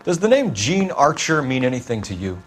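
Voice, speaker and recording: An actor playing a stern police detective with an American accent in a crime drama game, clipped and commanding. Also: male voice, mid-range voice, sing-song, moderate pace, faint music behind, lightly acted. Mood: stern, accusing. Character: hard-boiled male police detective, stern and pressing.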